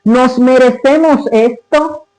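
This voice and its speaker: Male reciter for a dramatic literary monologue: high register, unhurried, solemn and declamatory delivery. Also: fairly even intonation, a little mellow, soft background music, low-quality recording.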